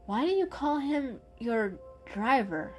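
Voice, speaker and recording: A woman voicing a grumbly male house cat in a storybook, questioning everything in a drawn-out way. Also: on the high side, sing-song, darkish tone, faint music behind, voice pushed up, heavily acted. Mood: suspicious, curious.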